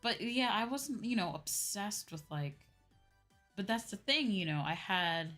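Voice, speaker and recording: A woman's voice: mid-range voice, animated, unhurried, fairly bright tone. A young adult woman streamer chatting casually in a conversational way, often checking in with the listener. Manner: conversational, often checks with "right?" and "you know". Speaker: VTuber streamer. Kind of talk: casual livestream chat. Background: background music.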